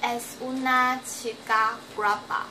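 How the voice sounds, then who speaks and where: Slow, careful Taiwanese woman learner. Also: on the high side, little pitch movement, bright, forceful tone, reverberant, a little hiss or hum, rough recording. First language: Chinese. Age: 20s. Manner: slow, careful learner's speech with halting restarts. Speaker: language student. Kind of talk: self-recorded homework video interview. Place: small bedroom, indoors.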